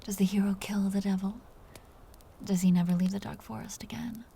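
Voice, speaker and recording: Vampire countess played by a woman: possessive yet doting, breathy close-up whisper with a creaky edge, mellow and soothing, intimate ASMR roleplay. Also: medium pitch, fairly even intonation. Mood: gently teasing. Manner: slow, soothing, teasing endearments.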